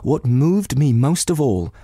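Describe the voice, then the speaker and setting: A man voicing a tender, concerned sister-in-law in a novel, soothing, earnest and gently pleading. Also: medium pitch, sing-song, a little slow, slightly rough, no room sound, pitched above the performer's own voice. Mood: persuasive, pleading.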